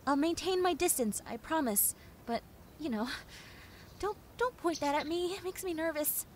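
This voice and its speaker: A woman's voice: extremely high pitch, moderate pace, slight background noise. A woman voicing a clumsy, friendly young female zombie in an audio roleplay, rambling expressively with plenty of ums and dramatic emphasis. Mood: nervous, friendly. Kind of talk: character roleplay audio drama, one-sided conversation.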